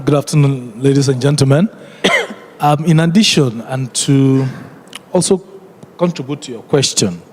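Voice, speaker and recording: A panel colleague handed the microphone at a business conference, a man of middling pitch who speaks politely and haltingly amid faint room noise. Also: a little mellow. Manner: hesitant, halting, courteous. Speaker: airline executive adding a point at a conference. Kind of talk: formal but unscripted panel remarks. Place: conference hall. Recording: noisy conference hall recording.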